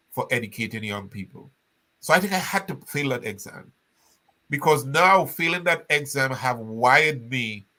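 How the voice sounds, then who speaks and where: An older man from Trinidad, telling his story as an interview guest with animated restarts. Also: mid-range voice, low-quality recording.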